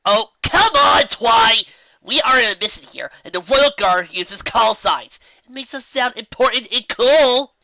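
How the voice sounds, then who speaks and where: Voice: mid-range voice, expressive, a little slow, creaky, sharp tone, no echo, dull audio, pitched above the performer's own voice, exaggerated voice. A performer voicing a brash, boastful, teasing female pegasus pony in an audio story. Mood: boastful, insistent.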